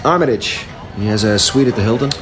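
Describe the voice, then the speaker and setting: A man's voice: medium pitch, brisk, background noise, lightly acted. A man plays a young hustler in a radio drama. He is terse and businesslike in a middle-range voice, with faint ambience behind. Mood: businesslike, probing. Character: terse young male hustler seeking information.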